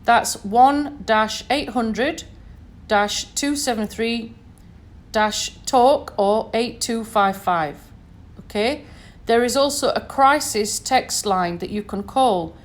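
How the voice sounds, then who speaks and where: An earnest woman from Sheffield, speaking with a Northern English accent in a slightly high voice. She talks straight to her listeners in an advising tone and keeps checking in with "okay?" and "you know". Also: takes it slowly. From Sheffield, England. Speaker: podcast host. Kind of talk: solo podcast talk.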